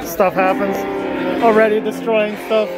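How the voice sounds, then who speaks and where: A man with a high, unhurried voice chats into a handheld camera while walking a toy convention floor. He jokes, teases and laughs easily. A loud crowd murmurs behind him. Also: level tone, loud music behind the voice. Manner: joking, laughs often, teasing. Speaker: toy collector vlogger. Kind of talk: casual vlog chat. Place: busy indoor toy convention hall. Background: crowd chatter.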